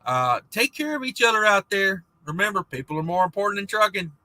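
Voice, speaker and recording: A man hosting a trucking livestream, reading viewer comments in a rambling, laid-back chat, and his voice is going hoarse. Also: sits high, big pitch swings, brisk, bright, forceful tone. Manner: rambling, laid-back, many fillers and restarts. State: losing his voice.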